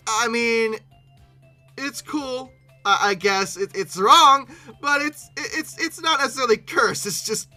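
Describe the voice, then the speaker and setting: A bright, forceful voice with very lively, swinging intonation, hosting a casual reaction video. The speaker is bemused and humorous, stumbling and repeating words while exclaiming, with music in the background. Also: mid-range voice. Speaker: YouTube host.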